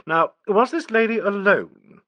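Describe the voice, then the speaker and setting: A man doing a brisk crisp inspector. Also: high-pitched, lively intonation, bright, forceful tone, dry recording, pitched above the performer's own voice, full character voice. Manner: crisp, coaxing.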